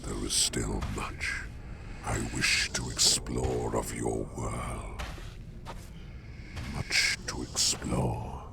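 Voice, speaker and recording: A man voicing a courteous, contemplative sleep demon in a slow, formal ASMR roleplay, with a gravelly half-whisper and long pauses. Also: extremely low pitch, fairly even intonation, background noise, exaggerated voice. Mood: gentle, wistful. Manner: measured, formal, drawn-out phrases with long pauses. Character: ancient-sounding male sleep demon, courteous, contemplative, gently eerie.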